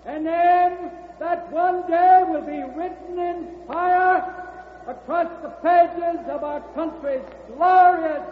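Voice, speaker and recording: High, ringing, slow convention orator. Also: male voice. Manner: declamatory, drawn-out, ringing. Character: grandiose convention orator nominating a candidate. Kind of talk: old-time radio drama oratory. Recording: tinny echoing radio recording, noisy crowd.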